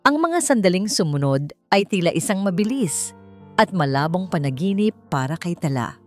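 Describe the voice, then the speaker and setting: A woman narrates a scripted romantic drama in a lowish voice. She reads as a storyteller, with soft music running behind her. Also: big pitch swings, moderate pace. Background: background music.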